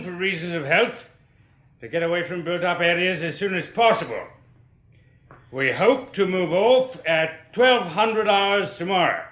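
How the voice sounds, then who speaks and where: An actor playing a brisk, officious army colonel, clipped and commanding, giving a briefing in a radio drama. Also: male voice, sits high, pushed and bright. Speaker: colonel giving administrative details. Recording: roomy, echoing hall.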